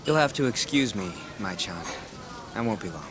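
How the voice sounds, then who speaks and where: A man's voice: fairly low pitch, animated, moderate pace, noisy background, lightly acted. A man playing a polite, composed young club host in a video game dub.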